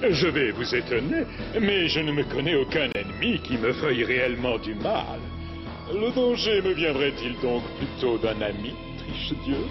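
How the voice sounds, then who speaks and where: Man acting a courteous, animated cartoon president. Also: medium pitch, moderate pace, dull audio, music in the background, natural voice. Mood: wry. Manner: courteous, formal, hesitant openings. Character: genial, unworried male president, courteous, dignified. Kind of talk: French cartoon dub acting.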